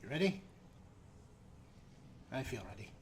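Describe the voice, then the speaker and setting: A man's voice: medium pitch, sing-song, measured pace. A man hosting a let's play with casual, jokey commentary and wry asides. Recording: loud game noise behind voice. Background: video game sound.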